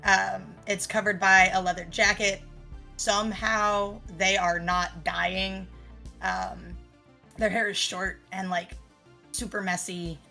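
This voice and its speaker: A woman describes her character in a tabletop roleplaying game. Her voice is very bright and mid-pitched, with casual, halting phrasing. Faint music is behind her. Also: little pitch movement, gravelly. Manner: halting, searching for words.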